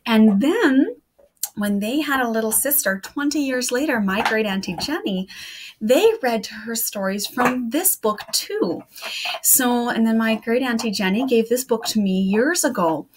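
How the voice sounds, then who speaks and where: A woman in her thirties with a high, very animated voice. She talks directly to children and family in a casual way and reads simple poems aloud as a storyteller. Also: a little slow, noisy background. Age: 30s. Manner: addresses young listeners and family directly.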